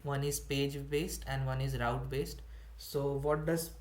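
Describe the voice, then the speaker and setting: A man's voice: mid-range voice, little pitch movement, unhurried, slight background noise. Young Indian man teaching in an echoey room. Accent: Indian English. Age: young adult. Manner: explains step by step with frequent fillers and restarts. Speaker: student instructor. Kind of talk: online coding workshop. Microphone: computer mic on a video call.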